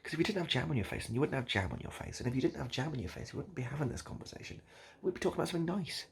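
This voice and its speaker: A man improvising a comic monologue straight to the listener, halting and repeating himself in a mock-earnest, absurd way. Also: medium pitch, lively intonation, vocal fry. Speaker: online video host.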